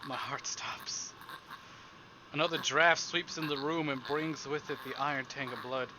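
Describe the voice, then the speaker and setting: A man streaming a visual novel, reading it aloud theatrically and deliberately, with livelier asides. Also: medium pitch, fairly even intonation, background noise. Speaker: game streamer. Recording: music behind the voice. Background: game background music.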